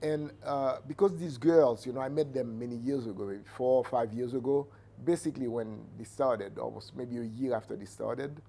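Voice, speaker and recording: A middle-aged man with a Haitian accent giving reflective interview answers as a Vodou priest, measured and halting as he searches for words. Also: mid-range voice, gravelly, mellow. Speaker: Vodou priest and cultural entrepreneur. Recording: noticeable background ambient noise.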